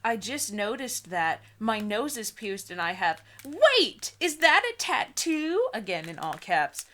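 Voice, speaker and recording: A woman with a medium-pitched voice reads aloud as a casual co-host. She is mock-dramatic and giggly, dropping into chatty asides and exclamations. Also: big pitch swings, unhurried, a bit bright. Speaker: co-host of a comedy book-reading video.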